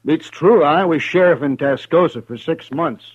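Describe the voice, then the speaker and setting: A man's voice: fairly high pitch, normal speed, lightly acted. An actor playing a grown male drifter gunman with a wry, drawling way of spinning tall tales, in a 1950s radio western. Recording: dull old radio broadcast.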